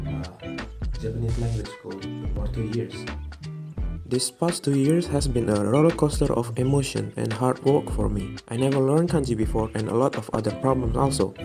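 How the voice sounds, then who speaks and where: A young man narrates a student campus tour in a friendly, chatty way, now and then pausing to find his words. His voice has a gravelly, creaky edge. Loud background music plays under his speech. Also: mid-range voice. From Indonesia.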